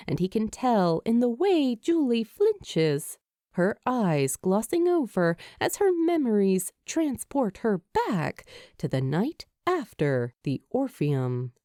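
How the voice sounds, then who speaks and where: Slow, expressive woman narrator, mellow and close. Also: medium pitch, no echo. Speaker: fan fiction narrator. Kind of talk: scripted story narration.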